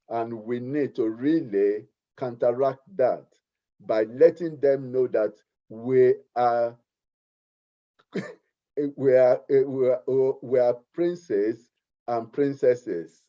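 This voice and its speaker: A middle-aged man with a Nigerian accent, speaking earnestly at a community meeting and often repeating his words. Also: medium pitch. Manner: earnest, repeats himself, deliberate. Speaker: community speaker, art teacher. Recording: muffled lo-fi recording.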